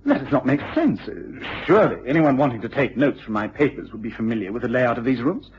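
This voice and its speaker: A man's voice: medium pitch, sing-song, a little fast, breathy edge, exaggerated voice. An actor playing an anxious, polite male college tutor in a radio drama, formal, earnest and hesitant. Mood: puzzled, alarmed. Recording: muffled, noisy radio drama recording.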